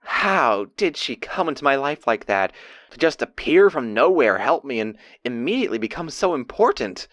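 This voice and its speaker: A man voicing an excitable young pony recording an audio diary in an audio drama, halting, awkward and earnest. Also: mid-range voice, no echo, clean studio sound. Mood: smitten, giddy. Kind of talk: casual diary monologue, acted.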